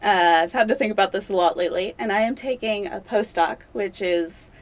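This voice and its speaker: A woman's voice: mid-range voice, a little fast. Chatty young woman with filler words. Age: young adult. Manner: chatty and self-deprecating, many fillers and laughs. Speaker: doctoral candidate interviewee. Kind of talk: casual interview.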